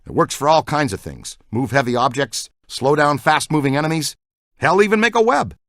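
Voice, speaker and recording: An actor playing an excitable, geeky male scientist who investigates the paranormal in a video game, earnest and full of technical jargon. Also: on the high side, moderate pace, no echo, clean studio sound. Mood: urgent, instructing. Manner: earnest, technical jargon, swings into boyish enthusiasm. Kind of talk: scripted game dialogue.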